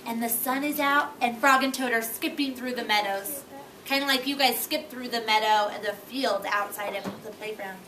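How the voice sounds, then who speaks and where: A woman's voice: on the high side, normal speed, crowd noise. A woman teacher leading an interactive read-aloud with young children, prompting them with questions and repeating herself. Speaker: early-grade teacher. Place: classroom with children. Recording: echoey room, distant mic, noisy.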